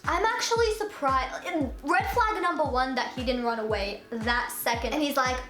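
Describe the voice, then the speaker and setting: A young woman from Russia telling a storytime in casual chat, full of "like" and lively quoted voices. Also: moderate pace, reverberant, soft background music. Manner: chatty, fills speech with "like", jumps high for quoted voices. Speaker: vlogger.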